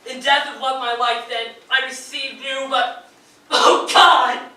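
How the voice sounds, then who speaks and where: A man's voice: very high-pitched, steady tone, takes it slowly, sharp tone, full character voice. A man playing a grief-stricken, nervous poet mourning his wife, reading fervently and theatrically on stage. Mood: yearning, fervent, then despairing. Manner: fervent, declamatory, swelling into anguished outbursts. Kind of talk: theatrical dramatic reading of poetry and letters. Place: live performance in a radio station's performance room. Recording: very echoey live room, distant mic, faint noise.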